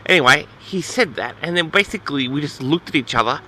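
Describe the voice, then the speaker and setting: Aussie guy rambling a story, kinda flustered, really sharp bright voice, bit of background noise. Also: fairly high pitch, lively intonation, quick pace, rough recording. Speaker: host of an audio log.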